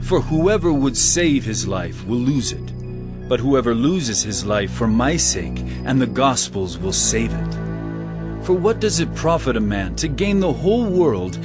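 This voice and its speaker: An earnest emphatic male teacher, bible audio drama, really expressive, music behind. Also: mid-range voice, unhurried. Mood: solemn. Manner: earnest, emphatic, rhetorical questioning.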